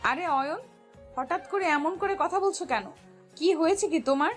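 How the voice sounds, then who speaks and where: A high, girlish voice with music underneath: a playful young woman, acted by a storyteller in a romantic audio drama. She teases her friends and speaks in bright exclamations. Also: normal speed. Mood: amused scolding. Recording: music bed behind voice.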